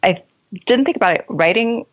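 A woman's voice: on the high side, animated, normal speed. A woman speaking as a novelist and guest, thoughtful and articulate in a reflective literary interview. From left Iran as a child and grew up in America. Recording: dull lo-fi sound.

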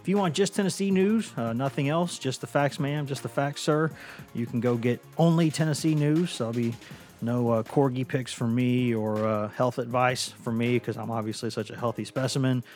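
A hoarse man hosting a sports podcast, talking casually and often restarting his sentences with repeated words. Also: medium pitch, normal speed, a little mellow, soft background music. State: hoarse from a lingering cough.

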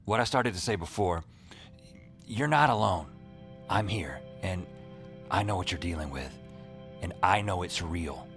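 Deep-voiced, wry, world-weary man. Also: a little slow, pauses often, faint music behind, exaggerated voice. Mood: earnest, reassuring. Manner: wry, deadpan. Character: world-weary male night-shift emergency dispatcher who sees strange things. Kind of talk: noir audio drama narration and dialogue.

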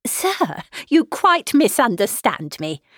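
Female narrator as agitated middle-aged mother, high shrill pitch, fussy, insistent, exclamatory, storybook reading, dry sound. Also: big pitch swings, takes it slowly, husky, dry recording, exaggerated voice. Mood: alarmed, placating. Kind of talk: audiobook character voice, classic novel.

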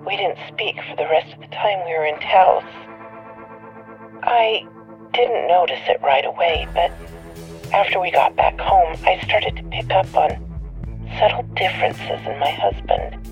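A woman playing a bitter divorcee on a tipline, telling her story deliberately and resentfully in an audio drama. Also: lowish voice. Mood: uneasy, resentful. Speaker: tipline caller. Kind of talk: dramatic monologue in audio fiction. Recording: dull lo-fi radio-like sound, music behind.

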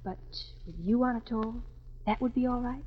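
A woman's voice: a little high, brisk, natural voice. Woman playing a gracious young opera singer, muffled. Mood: polite, light. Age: young adult. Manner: polite, light, gracious. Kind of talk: 1940s radio drama acting. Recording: dull old 1940s radio broadcast.

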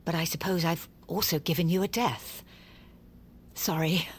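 A woman's voice: lowish voice, steady tone, moderate pace, slight background noise, lightly acted. An actress playing a dry, theatrical middle-aged mother in an audio drama. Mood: wry, cool.

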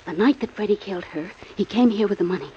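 An actress playing a scheming young woman begging her lover in a 1940s radio drama, pleading and breathy. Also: mid-range voice, lively intonation, quick pace, dark tone. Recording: dull old radio recording.

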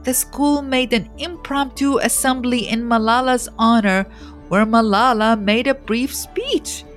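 A woman reading a scripted storybook biography as narrator, in a measured, expressive way with dramatic pauses. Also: fairly high pitch, faint music behind.

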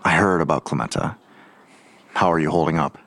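A man's voice: extremely low pitch, brisk, a bit bright, full character voice. An actor playing a blunt, world-weary father in an audio drama, dry and clipped in delivery. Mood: guarded.

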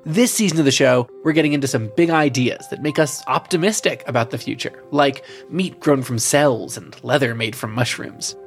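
A man reads a podcast promo in a bright, upbeat way. His pitch sits in a middle range. Light music runs quietly beneath him. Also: normal speed, few pauses. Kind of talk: scripted promo read.

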